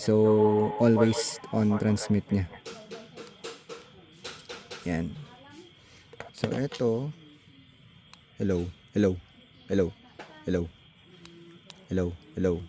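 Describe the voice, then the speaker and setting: Slow, low-voiced Filipino man. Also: mellow. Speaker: vlogger demonstrating gear. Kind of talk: casual hands-on tech demonstration. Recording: faint background noise.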